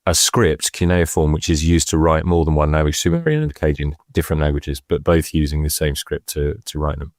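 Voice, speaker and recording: A man with a low voice gives casual, expert explanations on a podcast. He is hesitant and often rephrases as he goes. The recording is close and dry. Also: flat delivery, fairly quick, hardly any pauses, darkish tone, no echo. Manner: hesitant, circling, self-correcting explanations. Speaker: Egyptologist, podcast co-presenter.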